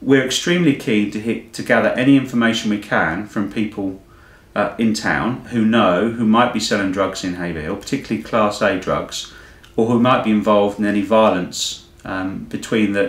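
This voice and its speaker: A British man with a fairly low voice delivers a police bulletin. He speaks in a formal, matter-of-fact manner, reading out information in measured phrases. The sound is echoey, recorded indoors in a room. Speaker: police inspector giving a local news update. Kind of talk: semi-scripted police news bulletin read to camera. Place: indoors, small plain room on a sofa.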